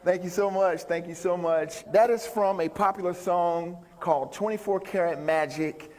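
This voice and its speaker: A man's voice: high voice, moderate pace, a little mellow. A man giving an upbeat, folksy live stage talk as a motivational speaker and musician, bantering with his audience. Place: large indoor stage venue. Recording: reverberant hall, stage talk.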